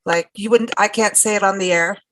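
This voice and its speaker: A woman's voice: medium pitch, steady tone, brisk, fairly bright tone, a little hiss or hum, poor audio. A woman radio host with an American accent, talking in a chatty, rambling way with frequent restarts and laughs. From South Africa. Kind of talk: casual conversational interview.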